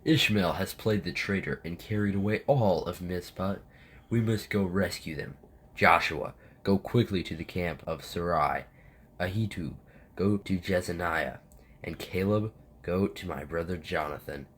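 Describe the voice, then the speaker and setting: A man's voice: sits low, unhurried, fairly bright tone, slightly rough, heavily acted. A man acting a stern, resolute military captain burdened by guilt, with a commanding manner, in an amateur audio drama.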